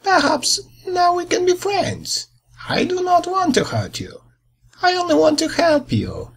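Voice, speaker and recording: A man voicing a boastful, sly, greedy big bad wolf in a storybook tale, raspy and theatrical. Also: extremely high pitch, very animated, measured pace, slight background noise, pitched above the performer's own voice, exaggerated voice. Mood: falsely sweet. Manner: scheming, theatrical.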